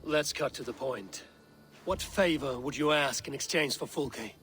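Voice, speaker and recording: An actor playing a blunt, wary Viking warrior in a video game, talking in terse, stern, impatient lines. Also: male voice, medium pitch, unhurried, a bit bright, natural voice. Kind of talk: video game drama acting.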